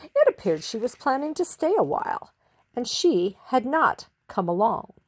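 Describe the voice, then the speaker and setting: A woman reading a children's story expressively, with theatrical, drawn-out emphasis on key words. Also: on the high side, big pitch swings.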